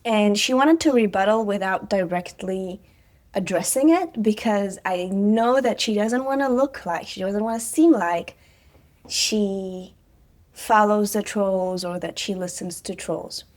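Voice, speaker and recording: A woman's voice: medium pitch, a little slow, darkish tone. A woman, chatting casually on her vlog with sarcastic asides and frequent fillers. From Israel. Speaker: vlogger, personal trainer.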